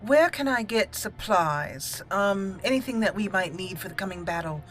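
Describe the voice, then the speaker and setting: A woman hosting a game playthrough, roleplaying aloud with theatrical, deliberate phrasing. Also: medium pitch, lively intonation, fairly bright tone. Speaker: gaming channel host.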